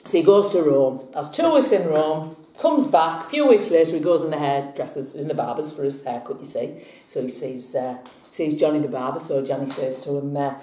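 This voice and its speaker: A woman's voice: sits low, brisk, dark tone, a little hiss or hum. A woman hosting a vlog, chatting playfully and telling jokes. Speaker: vlog host. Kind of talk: casual chat and joke telling. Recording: roomy echo.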